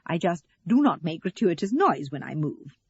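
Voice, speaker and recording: A woman's voice: fairly low pitch, very animated, moderate pace, a little mellow, breathy edge, no echo, highs cut off, full character voice. A woman voicing a righteous older mother in an audio story, prim, self-pitying and cutting. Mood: disapproving.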